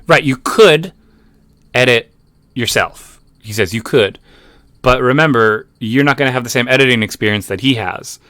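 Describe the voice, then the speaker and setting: A man's voice: mid-range voice, moderate pace, bright, forceful tone, no echo, soft background music. A man giving casual reaction commentary, skeptical and rambling with restarts. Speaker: YouTube commentator.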